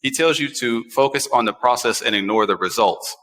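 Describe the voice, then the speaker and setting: Echoey, bright-voiced American man in his forties. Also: mid-range voice, fairly quick. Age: 40s. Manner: animated, repeats phrases for emphasis. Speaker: talk show host. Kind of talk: casual chat, personal storytelling. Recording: echoey, long room reverb.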